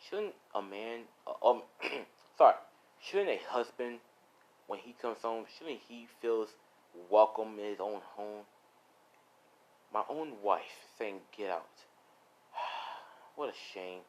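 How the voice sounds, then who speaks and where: A man voicing a vengeful villain husband and gang boss in slow, quiet, sinister taunts, in an amateur story. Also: lowish voice, lively intonation, poor audio. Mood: wounded sarcasm.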